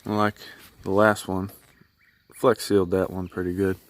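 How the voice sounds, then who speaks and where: A man giving a casual, unscripted how-to vlog in a laid-back, folksy, halting way. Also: low voice, flat delivery. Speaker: hobbyist vlogger showing his truck radio install. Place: in and around a pickup truck in a driveway.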